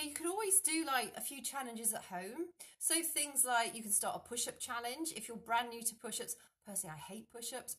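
A middle-aged woman, an online fitness coach, talking casually to a phone camera at home, gesturing a lot and pausing on fillers. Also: medium pitch. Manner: animated, gestures a lot with hands, many fillers and restarts. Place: dim room at home, indoors.

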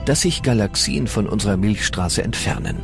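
Low male narrator voice, calm science doc read, music playing under it. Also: normal speed, gravelly. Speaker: documentary narrator. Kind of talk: scripted documentary narration. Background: music bed.

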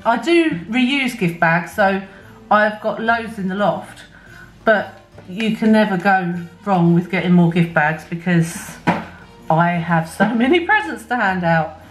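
A middle-aged British woman chatting casually to camera for a haul video, rambling and correcting herself as she goes. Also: mid-range voice. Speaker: shopping haul vlogger. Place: home kitchen, indoors. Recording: echoey kitchen, faint background music. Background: faint music.

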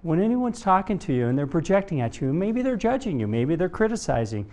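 A middle-aged man delivers a motivational talk on stage into a lapel mic. His voice is fairly high for a man and soft-edged, with a relaxed tone. He phrases his points deliberately and emphatically, pausing between them. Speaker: motivational keynote speaker and coach. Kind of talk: motivational public talk, storytelling. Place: stage in a conference room before a seated audience.

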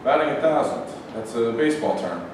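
A young man reads the part of an affable German officer conducting an interrogation. He is courteous and measured, in a medium-pitched voice. The room rings heavily, with faint noise. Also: expressive, lightly acted. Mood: affable, probing. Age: young adult. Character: courteous, chatty German army lieutenant interrogating a prisoner. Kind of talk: staged script reading. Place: bare rehearsal room, camera at a distance. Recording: echoey hall from far off, faint noise.

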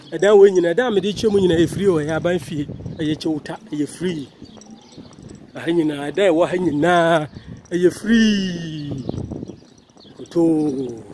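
A Ghanaian man with a fairly high voice gives a casual, chatty walking vlog. He jokes along as he addresses his viewers. The phone recording is noisy, with outdoor sound around him. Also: darkish tone. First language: Twi. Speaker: vlogger, channel host. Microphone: handheld phone.

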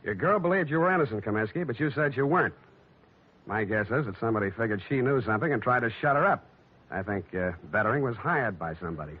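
An actor playing a tough, wry American private detective in clipped, sardonic 1940s radio style. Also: male voice, medium pitch, animated, fast, close to an everyday voice. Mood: cool, needling. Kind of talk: 1940s radio acting, hard-boiled narration. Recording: dull noisy old radio recording.

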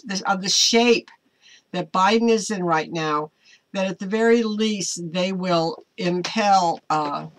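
Slow-talking older American woman preaching. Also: fairly low pitch, a bit bright. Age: older, 60s or 70s. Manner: halting, earnest. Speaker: online ministry host. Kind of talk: informal devotional talk to camera, part preaching. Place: small home office, indoors.

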